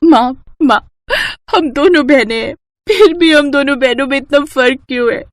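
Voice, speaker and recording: A woman voicing a gentle young bride who is hurt about her dark skin. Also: a little high, steady tone, moderate pace, no echo, natural voice. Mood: pleading.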